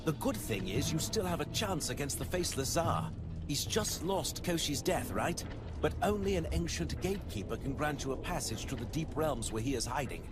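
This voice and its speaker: An actor playing an eager, talkative ally who explains missions with theatrical enthusiasm in a video game. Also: male voice, on the high side, animated, moderate pace, over music, close to an everyday voice. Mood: triumphant.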